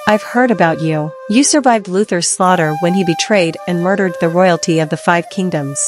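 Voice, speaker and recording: Woman as a curious low-voiced noblewoman. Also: normal speed, close to an everyday voice. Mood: intrigued. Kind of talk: fan dub voice acting of a fantasy story game. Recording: music clearly behind the voice.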